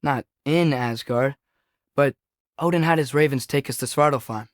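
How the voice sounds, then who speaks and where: A man's voice: mid-range voice, moderate pace, dry recording, clean studio sound, close to an everyday voice. A young man playing a curious, earnest teenage boy in a Norse myth video game, talking in a natural, slightly hesitant way. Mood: casual, thoughtful.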